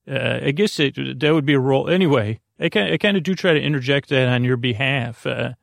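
Creaky-voiced man rambling soothingly. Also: medium pitch, no echo, studio quality. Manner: meandering, halting, with tangents and self-interruptions; soothing, lulling. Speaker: podcast host. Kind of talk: rambling bedtime monologue to help listeners sleep.

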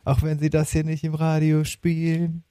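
A man's voice: on the high side, completely flat delivery, normal speed, mellow. A man hosting a podcast in casual chat, often repeating words and phrases as he gathers his thought. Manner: stammering repetition of words and phrases. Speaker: podcast host. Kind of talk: casual chat about music.

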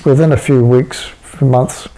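A man's voice: medium pitch, fairly even intonation, a little slow, warm tone. A man being interviewed about his writing, talking casually and rambling with many restarts and fillers. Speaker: interviewed writer.

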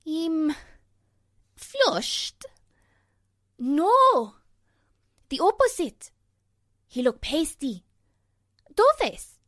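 A woman playing an excitable, blunt lady with a Spanish accent in a 1940s radio drama, exclaiming dramatically. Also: sits high, drawn-out words, pauses often, no echo, clean studio sound, exaggerated voice. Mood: scornful. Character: excitable Latin woman, blunt restaurant guest.

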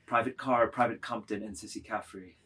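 A man narrating a literary work dramatically, deliberate and declamatory, phrase by phrase. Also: lowish voice, steady tone, bright, forceful tone, husky.

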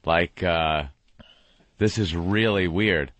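Man, co-host, lowish voice, dry asides. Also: slow, fairly bright tone, no room sound. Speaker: radio co-host. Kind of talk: casual radio banter.

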